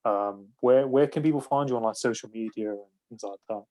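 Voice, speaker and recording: A man's voice: mid-range voice, a little fast, a little mellow. A man hosting a podcast, chatting casually and rambling with lots of fillers. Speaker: podcast host. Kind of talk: casual interview chat. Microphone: phone call.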